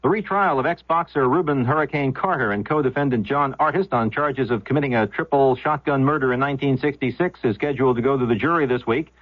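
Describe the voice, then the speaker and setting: A man's voice: mid-range voice, quick pace, few pauses, dry recording. A man reading a scripted newscast as a radio news anchor on an old, dull-sounding broadcast.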